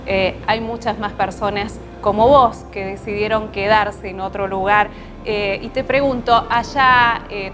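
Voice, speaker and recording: A woman with an Argentine accent interviewing a guest on the radio, asking calm questions. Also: mid-range voice. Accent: Argentine Spanish.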